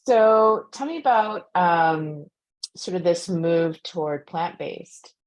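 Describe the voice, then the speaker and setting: A woman's voice: fairly low pitch, lively intonation, takes it slowly. A woman interviewing an author at a bookstore talk, asking questions and summing up her advice in an encouraging way.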